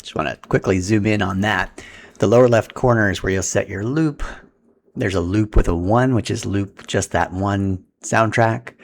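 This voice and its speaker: A man walks through a hands-on setup in an informal, instructional style. His voice sits low and has a gravelly, creaky edge. Faint background noise runs under the recording. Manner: informal, instructional, talking while handling equipment. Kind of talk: casual step-by-step tutorial.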